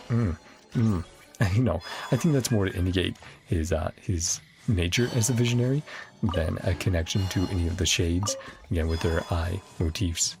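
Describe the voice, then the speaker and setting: Male streamer, low gravelly voice, enthusiastic off-the-cuff reaction commentary over game music. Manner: rambling, thinks aloud. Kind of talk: casual chatty reaction commentary.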